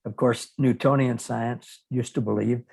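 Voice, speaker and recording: Thoughtful man with a gravelly, husky voice. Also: medium pitch, fairly even intonation, darkish tone. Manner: halting and thoughtful, repeating words. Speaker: interviewer. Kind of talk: reflective conversational interview talk on faith.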